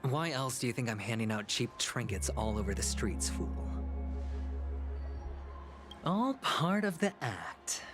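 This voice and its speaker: An actor playing a smooth, sly young gambler in a video game, with a theatrical, calculating, teasing delivery. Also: male voice, mid-range voice, big pitch swings, unhurried, pauses often, music in the background. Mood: sly, taunting. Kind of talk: scripted video game dialogue.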